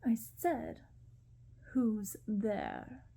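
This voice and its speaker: A woman's voice: a little high, huge pitch swings, drawn-out words, vocal fry, mellow, full character voice. A woman reading a storybook in ASMR style, voicing a cold, bullying young prince who is curt and condescending. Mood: alarmed, demanding.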